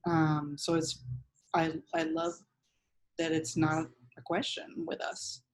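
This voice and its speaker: Low-voiced woman chatting on a panel. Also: completely flat delivery, slow pace, rough recording. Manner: informal, frequent "like" and "you know". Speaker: panel guest, drummer. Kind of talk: casual unscripted panel talk.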